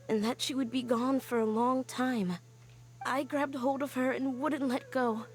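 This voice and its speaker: A woman voicing an earnest young woman scholar in a game, telling her story quietly and sighing often. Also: a little high, natural voice. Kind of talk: game cutscene voice acting.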